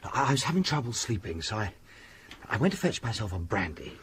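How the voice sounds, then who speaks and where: An actor playing a nervy, brooding gentleman in a radio drama, speaking in an agitated, emphatic, formal way. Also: lowish voice, sing-song, fairly quick, slight background noise. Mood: nervous, hesitant. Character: nervy, brooding gentleman, gloomy heir of a haunted house.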